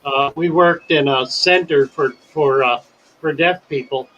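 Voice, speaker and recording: An older man hosts a relaxed live chat with a slightly high voice. He takes his time, choosing his words slowly and carefully, with a wordy, storytelling manner. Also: highs cut off, slight background noise. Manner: slow, wordy, deliberate storytelling. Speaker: livestream host. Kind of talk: casual chat.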